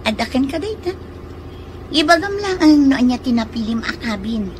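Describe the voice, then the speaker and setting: Ferry worker: high voice, agreeable schemer, radio drama, noisy recording. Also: female voice, lively intonation, normal speed. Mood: friendly, obliging.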